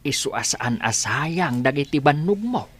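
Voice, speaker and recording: A woman playing a gentle, consoling elderly father in a radio drama, with a husky voice and tender, sighing delivery. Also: low-pitched, expressive, normal speed.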